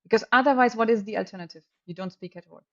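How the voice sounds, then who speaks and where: German-native woman, lively podcast guest. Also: on the high side, very fast, dull audio. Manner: enthusiastic, laughs often, self-corrects. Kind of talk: casual podcast conversation.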